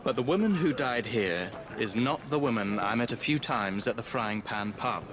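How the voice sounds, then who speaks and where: Weary Victorian London inspector played by a man, British, mid-pitched, formal and grave, for a game cutscene. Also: lively intonation, noisy background, natural voice. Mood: grim, weary. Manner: grave, formal, measured storytelling. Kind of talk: dramatic video game cutscene acting.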